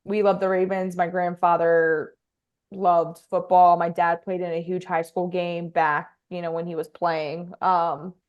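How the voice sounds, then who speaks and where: A woman's voice: fairly low pitch, little pitch movement, measured pace, clear, resonant tone, dull audio. A young woman with a Baltimore accent, a guest chatting casually in an interview with many fillers and restarts. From Baltimore, Maryland.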